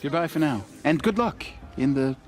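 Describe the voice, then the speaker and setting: A man's voice: on the high side, lively intonation, moderate pace, faint music behind, close to an everyday voice. Friendly, humble young man played by an actor. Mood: friendly, earnest. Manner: friendly, earnest, self-deprecating. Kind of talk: video game voice acting.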